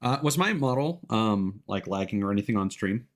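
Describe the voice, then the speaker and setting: A man's voice: fairly low pitch, expressive, normal speed. A man giving casual live gameplay commentary as a streamer, rambling and restarting his sentences mid-thought.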